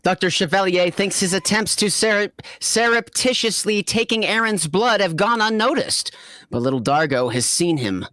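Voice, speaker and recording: A woman's voice: medium pitch, expressive, moderate pace, a bit bright, no echo. A woman narrating a comedic story reading in a chatty way, stumbling over words and correcting herself. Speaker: narrator and host of a fanfiction reading.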